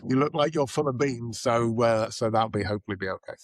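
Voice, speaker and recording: Hoarse man with a cold. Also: medium pitch, fast, no echo, studio quality. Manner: rambling, self-deprecating, chatty. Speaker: podcast co-host. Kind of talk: casual podcast chat.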